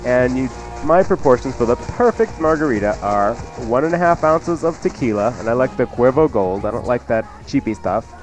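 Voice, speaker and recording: A man's voice: medium pitch, expressive, moderate pace, few pauses, faint music behind, low-quality recording. A man co-hosting a cooking radio show with casual banter and recipe talk.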